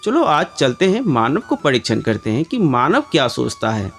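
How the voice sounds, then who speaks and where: A man narrates as a serene monk teacher from a moral storybook. He preaches in a measured way at a middle pitch, with varied intonation and music behind. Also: huge pitch swings, fairly bright tone. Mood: calm, teaching. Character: serene wise male monk teacher, calmly instructive. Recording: background music under the voice.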